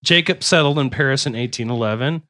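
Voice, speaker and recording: Joking podcast host, dry close sound. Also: male voice, medium pitch, quick pace, no echo. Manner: jokey, slips into loud silly voices. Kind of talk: casual chat mixed with reading history aloud.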